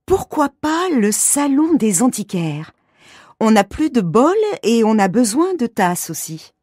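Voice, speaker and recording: A woman's voice: on the high side, big pitch swings, unhurried, a little mellow, slightly rough, dry recording, studio quality, exaggerated voice. A woman playing a wife in a scripted textbook dialogue, suggesting outings in a light, conversational tone. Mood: chatty. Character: woman named Mariette planning an outing with her partner.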